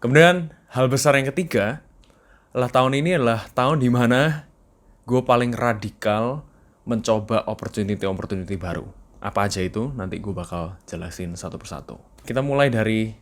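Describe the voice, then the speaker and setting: A man's voice: mid-range voice, brisk. A young man with an East Javanese Indonesian accent, chatting casually in a video journal, slipping in English phrases and laughing now and then. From East Java. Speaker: vlogger.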